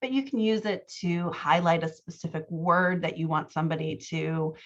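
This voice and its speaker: Slightly muffled audio, lady podcast host coaching casually, lowish voice, really affirming. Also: normal speed. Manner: encouraging, affirming. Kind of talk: casual conversational feedback.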